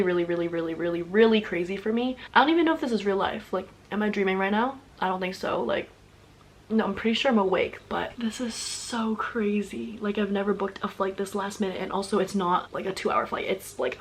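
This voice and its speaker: A woman's voice: medium pitch, a little hiss or hum, low-quality recording. Young female vlogger, casual and chatty, addresses the audience directly, piles on repeated words. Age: young adult. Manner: chatty, talks straight to viewers, stacks repeated words for emphasis. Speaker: vlogger and athlete. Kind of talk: casual story-time vlog.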